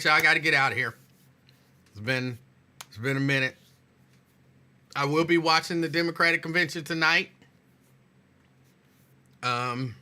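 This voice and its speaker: Guy hosting a talk show, bright voice a bit high, going hoarse, repeats himself. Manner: chatty, repeats phrases, talks to listeners directly. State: losing his voice. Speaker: host. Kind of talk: casual talk show chat.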